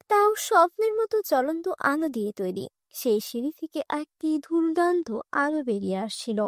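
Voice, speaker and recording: High-voiced woman narrating a fairy tale. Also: no echo, clean studio sound. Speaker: storyteller. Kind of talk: scripted fairy-tale narration for children's cartoon.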